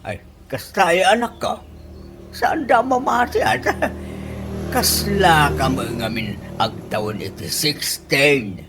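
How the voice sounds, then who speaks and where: A woman's voice: deep voice, slow, music in the background. A woman playing a good-natured, teasing father of fifty in an Ilocano-accented radio comedy, given to exclamations. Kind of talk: comedy radio drama acting.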